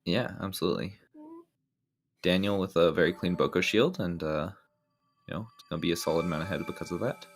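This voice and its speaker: Male speedrun commentator, very low gravelly voice, laid-back explainer. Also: a little fast, soft background music. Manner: relaxed, explanatory, frequent ums and restarts. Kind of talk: live game commentary.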